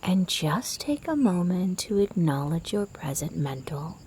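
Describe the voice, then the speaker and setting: Female meditation guide: mellow, half-whispered and breathy, gentle, soothing delivery at an unhurried pace. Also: lowish voice, expressive, a little hiss or hum. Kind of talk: guided sleep meditation narration.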